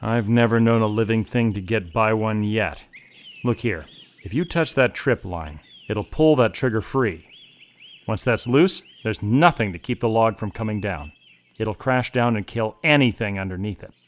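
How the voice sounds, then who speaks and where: An actor playing a resolute, resourceful mercenary on the run in an audio drama, speaking in terse, urgent commands. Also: male voice, fairly low pitch, moderate pace, natural voice. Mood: tense, commanding. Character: resolute, resourceful male mercenary hunted through a jungle.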